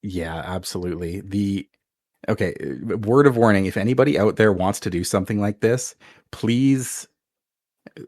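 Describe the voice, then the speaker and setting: A soft-spoken man chatting casually as an interview guest, conversational and a little self-deprecating about his creative work. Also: fairly low pitch, moderate pace, dry recording, clean studio sound.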